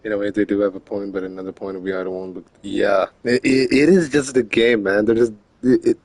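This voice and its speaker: A man's voice: fairly low pitch, fairly quick, darkish tone, no echo. A man chatting casually as a game streamer, informal and slangy, with some swearing. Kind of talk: casual livestream chat. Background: faint game music.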